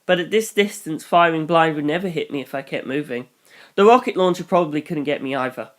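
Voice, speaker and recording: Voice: medium pitch, fairly quick. A reader narrating a first-person action story in a storytelling style. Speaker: audiobook reader.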